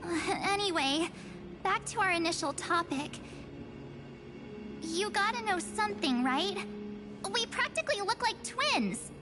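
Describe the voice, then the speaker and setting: A woman's voice: very high voice, expressive, a little slow, long pauses. A woman voicing a perky but anxious teenage girl adventurer in a video game, earnest, chatty and a little hesitant. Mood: curious, hopeful. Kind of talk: English video game voice acting. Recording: background music.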